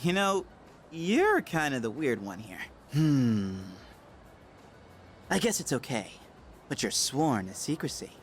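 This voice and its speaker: A man's voice: medium pitch, wildly swooping pitch, slow, pauses often, faint music behind. An actor voicing a cocky, goofy but earnest teenage boy in a video game, casual and sometimes awkward or theatrically boastful. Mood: sheepish, playful. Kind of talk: English video game voice acting.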